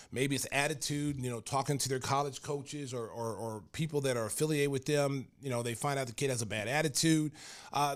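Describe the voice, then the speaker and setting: An older man from Oklahoma hosts a sports podcast and talks loosely, piling on fillers and restarts as he spins stories. He laughs his way through anecdotes and sounds animated and at ease. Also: mid-range voice. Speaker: podcast host, former pro basketball player. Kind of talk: casual sports talk and storytelling.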